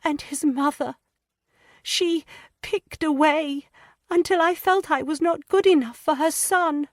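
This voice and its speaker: A woman voicing an anxious, tearful young wife in an audiobook, pleading and stammering in a high, breathless voice. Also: measured pace, no room sound, clean studio sound. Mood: distressed. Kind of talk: audiobook character voice.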